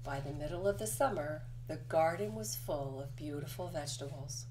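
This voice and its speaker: A woman reading a children's picture book aloud as narration, with expressive storytelling delivery. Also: sits low, normal speed, a little hiss or hum, low-quality recording. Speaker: storybook reader.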